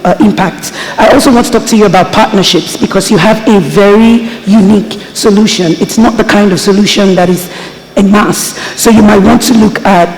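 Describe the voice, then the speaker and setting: A woman's voice: mid-range voice, a little mellow. Encouraging woman judge, echoey hall. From Nigeria. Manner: measured, encouraging, with uhs. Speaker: panel judge. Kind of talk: giving feedback at a summit. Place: large conference hall.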